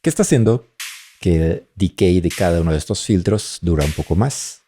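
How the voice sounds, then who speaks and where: A man presenting a music production tutorial, explaining as he demonstrates and thinking aloud in short phrases with pauses. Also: low voice, expressive, noisy background. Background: drum loops playing.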